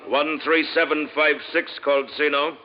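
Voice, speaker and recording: A man's voice: fairly high pitch, level tone, normal speed, natural voice. A man playing a solemn Martian council official, formal and ceremonious, in old-time radio style. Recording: dull, noisy old radio broadcast.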